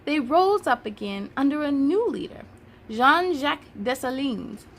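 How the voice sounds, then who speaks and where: Woman reading as patient storybook history teacher, female, high-pitched, instructive and encouraging, faint noise behind. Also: animated, unhurried. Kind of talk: children's storybook dialogue.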